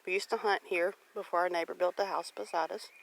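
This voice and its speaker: A woman's voice: fairly low pitch, steady tone, fast talker, creaky. A woman in her forties with a Southern US accent, chatting casually and unhurriedly as she walks her rural property outdoors with a handheld camera. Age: 40s. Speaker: homestead vlogger. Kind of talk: casual walk-and-talk narration. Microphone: handheld camera or phone while walking. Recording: noisy outdoor handheld recording with clicks.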